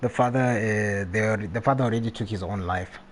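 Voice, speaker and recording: A man live streaming a video game, reading text aloud and commenting casually in a halting way. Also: fairly low pitch. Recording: faint background noise, game ambience.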